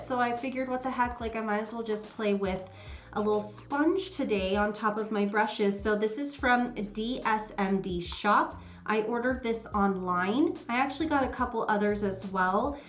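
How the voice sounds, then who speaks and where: A woman's voice: on the high side, reverberant. A woman vlogger chatting casually about makeup, a bit nasal from a head cold and rambling with many ums. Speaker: beauty vlogger. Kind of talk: casual makeup vlog chat.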